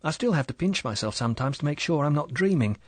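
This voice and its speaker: A man voicing a young, pretty English wife who talks in a soft, chatty, apologetic way. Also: a little high, lively intonation, fast talker, no echo, pitched above the performer's own voice. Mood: eager.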